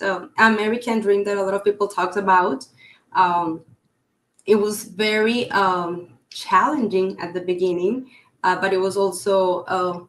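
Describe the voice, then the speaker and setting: A woman from Colombia with a Colombian accent, telling her story as a podcast guest in a chatty way with many filler pauses. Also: medium pitch, reverberant. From Cali, Colombia. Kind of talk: casual interview storytelling.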